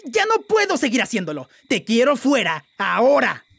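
A performer with a Latin American accent playing a lovesick, emotional demon prince and unhappy husband in an animated fan dub. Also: mid-range voice, normal speed, vocal fry, breathy edge, no echo, full character voice. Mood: fed up, angry. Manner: theatrical, emotional, swinging from purring to outraged. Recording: loud music behind the voice.

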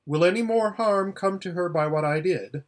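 Man voicing a hesitant, high-pitched young girl. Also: moderate pace, natural voice. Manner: polite, tentative.

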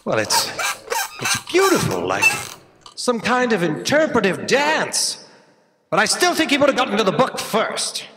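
A man's voice: sits high, wildly swooping pitch, measured pace, background noise, heavily acted. A man playing a brash, intrepid space detective hero in a staged comedy audio drama, speaking theatrically and emphatically. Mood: commanding, puzzled. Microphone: stand microphone. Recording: live theatre stage with long hall reverb.

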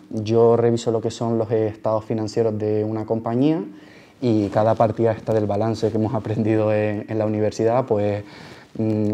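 A man's voice: mid-range voice, warm tone, lo-fi sound. A man in his twenties with an accent from Spain, explaining his work to students as a junior auditor on a panel. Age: 20s. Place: large auditorium, panel table.

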